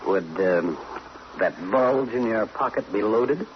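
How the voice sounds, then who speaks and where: A man's voice: fairly low pitch, animated, slow. An actor playing a wry, wary, wisecracking man in an old-time radio crime drama, dry and sardonic in delivery. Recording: noisy muffled old radio drama recording.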